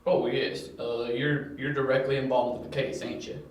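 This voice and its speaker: A blunt, world-weary policeman, acted by a man, talks in a flat monotone. The amateur audio drama catches him far from the mic in a very echoey room. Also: mid-range voice, moderate pace, close to an everyday voice. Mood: matter-of-fact.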